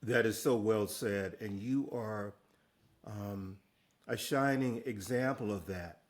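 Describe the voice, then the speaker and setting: A man's voice: on the low side, slow. A man hosting an interview, upbeat and encouraging as he introduces topics and asks questions. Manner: upbeat and encouraging, laughs often. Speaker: interview host.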